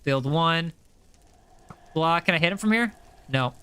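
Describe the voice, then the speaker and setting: A man's voice: fairly high pitch, animated, unhurried, pushed and bright, clean tone. A young American man with a general American accent, chatting casually and upbeat while playing a game on a livestream and reading chat aloud. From USA. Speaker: streamer.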